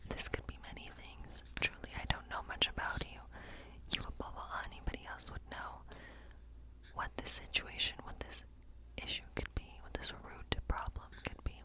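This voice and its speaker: A woman whispering a tarot reading in an ASMR fantasy role play, gentle and unhurried, explaining in short, breathy phrases. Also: poor audio.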